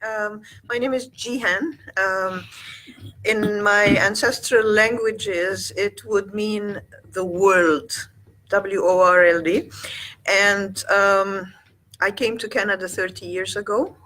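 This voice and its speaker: Slow, bright-voiced Egyptian-born woman in her sixties. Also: medium pitch, fairly even intonation, slight background noise, poor audio. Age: 60s. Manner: gentle, halting, with many pauses. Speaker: guest. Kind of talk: casual chat.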